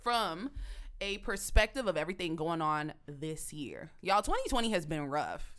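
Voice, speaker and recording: A young woman co-hosting a podcast, chatting and teasing her friends in an animated way. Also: fairly low pitch, moderate pace. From Florida. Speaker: podcast co-host. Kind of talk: casual chat among friends.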